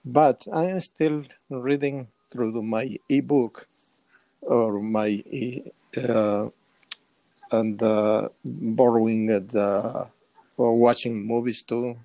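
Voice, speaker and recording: A man of sixty-five from El Salvador with a Salvadoran Spanish accent, giving casual, halting answers as an interview guest. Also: medium pitch, steady tone, gravelly, mellow, no echo, rough recording. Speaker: interview guest, semi-retired.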